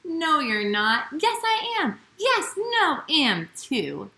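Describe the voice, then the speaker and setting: A woman acts out squabbling, self-important men from a children's storybook. The voice is bright and swoops around with childish insistence, in an echoey room. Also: high voice, huge pitch swings, slow pace, pitched above the performer's own voice, full character voice. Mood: boastful squabbling. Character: squabbling, boastful grown men, disciples of Jesus. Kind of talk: children's storybook read-aloud.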